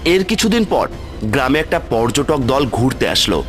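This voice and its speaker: A male storyteller with a medium-pitched voice narrates a scripted horror tale for a cartoon. Loud music plays behind him. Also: big pitch swings, moderate pace.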